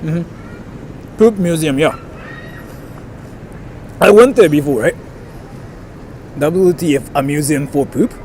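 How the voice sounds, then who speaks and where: A man's voice: on the high side, slow pace, pauses often, darkish tone. A young man chatting casually on a live stream as he walks outdoors, rambling and reading out viewer comments, with street sounds behind him. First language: bilingual English and Japanese. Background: faint street and traffic noise.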